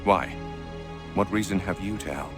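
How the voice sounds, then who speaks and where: An actor playing a grave, courteous military commander in a video game, formal, measured and authoritative. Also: male voice, low voice, close to an everyday voice. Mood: grave, wary. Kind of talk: dramatic video game acting. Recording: prominent music behind the voice.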